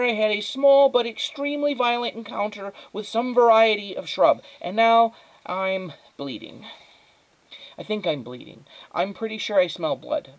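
A woman voicing a precocious, anxious small boy with a high, thin voice and a wordy, pedantic, formal manner for an audiobook. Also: poor audio.

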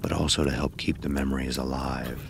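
An actor playing a weary old man narrating his dark memoirs in an audio drama, solemn and measured. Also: rumbling low, fairly even intonation, vocal fry, noisy background. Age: older.

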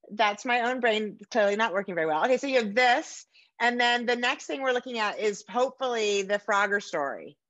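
A middle-aged American woman hosting a casual interview over a video call, effusive and quick to poke fun at herself. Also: a little high, big pitch swings, a bit bright, no echo, dull audio. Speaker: podcast host interviewing an author. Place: book-lined room at home, indoors.

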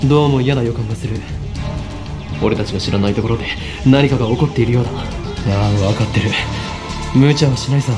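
A man's voice: medium pitch, a little fast, lightly acted. An actor playing a pompous, paranoid young man who styles himself a mad scientist, in a visual novel. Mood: grave, secretive. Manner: theatrical, pompous. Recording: music behind the voice.

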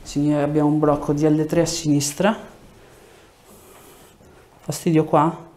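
A man's voice: on the high side, little pitch movement, measured pace, a little mellow. A man, a chiropractor giving short hands-on instructions and explanations to a patient in a treatment room. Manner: short directive phrases. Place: small clinic treatment room indoors. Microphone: lapel mic.

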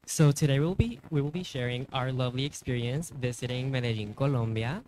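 A man's voice: medium pitch, a little fast, a little mellow, slight background noise. A young man, a fashion design student giving a rehearsed, polite presentation at a podium microphone. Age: young adult. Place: indoor university event stage. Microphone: podium microphone on a stand.

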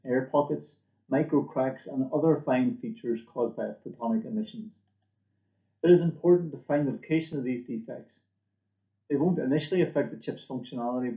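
A man giving a scripted product presentation for a company, in a measured, formal manner, recorded at a desk in a small room. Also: mid-range voice, roomy sound, phone-quality sound. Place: small indoor office or lab room.

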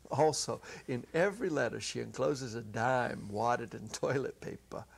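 A middle-aged man giving a measured, expressive dramatic reading of a story as narrator. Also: medium pitch, gravelly, husky. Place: small indoor set. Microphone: lapel.

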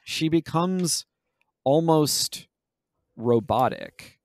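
A man's voice: fairly high pitch, expressive, drawn-out words, dry recording, clean studio sound. A man co-hosting a podcast, talking analytically and searching for his words with frequent self-corrections. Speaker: podcast co-host. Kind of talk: analytical discussion of a video game.

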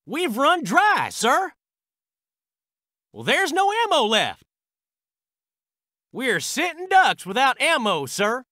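A high-strung American artillery crewman in a war game. His voice sits high and swings widely in pitch. He delivers clipped military barks with a bright, forceful edge. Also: huge pitch swings, takes it slowly, pauses often, no echo, clean studio sound, exaggerated voice. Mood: strained, frustrated. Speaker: video game unit voice.

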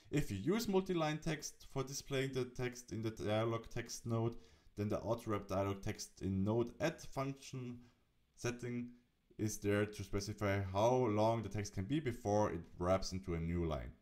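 A man presenting a software tutorial, narrating the setup step by step. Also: lowish voice, unhurried.

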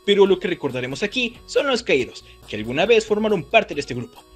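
High-pitched, animated man narrating. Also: a little fast, soft background music. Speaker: video host. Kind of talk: scripted narration for a music trivia video.

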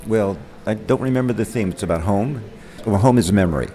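A man, a gallery member and poet, musing casually about his work with frequent pauses and fillers. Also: lowish voice, dark tone. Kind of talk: casual artist statement.

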